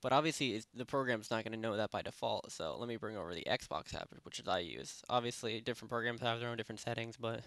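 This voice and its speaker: Rambling young man explaining software. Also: on the low side, quick pace, hardly any pauses, dry recording. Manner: rambling, many fillers and self-corrections. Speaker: tutorial host. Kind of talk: casual screen-recorded software tutorial. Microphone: desktop microphone at a PC.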